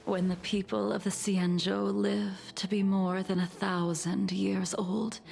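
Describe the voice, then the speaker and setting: A woman voicing a serene, world-weary ancient swordswoman in a video game, soft and breathy, with a measured, formal, wistful manner. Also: mid-range voice. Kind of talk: video game character acting.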